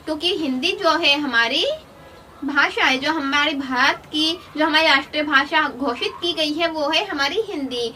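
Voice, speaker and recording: High, bright-voiced woman teaching. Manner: explains step by step, mixing English terms into Hindi; reads article titles slowly, then explains. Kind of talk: online lesson. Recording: distant mic with faint noise.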